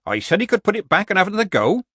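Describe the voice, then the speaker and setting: Man as a meek, high-voiced old witch. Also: wildly swooping pitch, moderate pace, fairly bright tone, no room sound, studio quality, heavily acted. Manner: meek, plaintive. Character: meek old witch woman, innocently apologetic.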